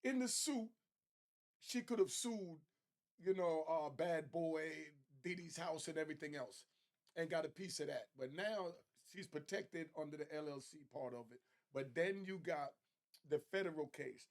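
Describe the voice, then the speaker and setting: A man's voice: a little high, measured pace. A man giving casual, off-the-cuff commentary in slangy, streetwise language, breaking things down in plain terms. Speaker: reaction commentator.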